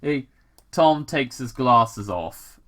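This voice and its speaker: Man, casual story reading, slow pace, animated pitch, stumbling, repeated words, off-the-cuff sweary asides. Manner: halting, stumbling over words, repeating himself. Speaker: gamer host reading fan fiction aloud. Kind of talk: casual read-aloud with commentary.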